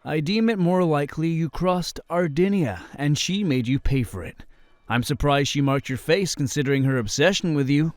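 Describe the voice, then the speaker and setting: An actor playing a polite, sensible young crusader in a fantasy audio drama, formal and cautious. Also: male voice, a little high, moderate pace, no room sound, soft background music, close to an everyday voice. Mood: wry.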